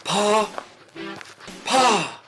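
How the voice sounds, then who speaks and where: A man's voice: high-pitched, animated, extremely slow, pushed and bright, faint music behind, full character voice. An actor playing a whiny, hungry young Southern country boy in an audio drama. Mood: pleading.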